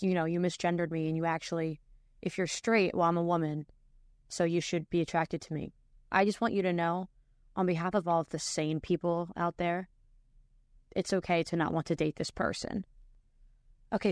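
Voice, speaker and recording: A woman's voice: lowish voice, no echo, studio quality. A woman hosting a podcast, giving casual reaction commentary in a chatty way with lots of fillers and restarts. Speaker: podcast host.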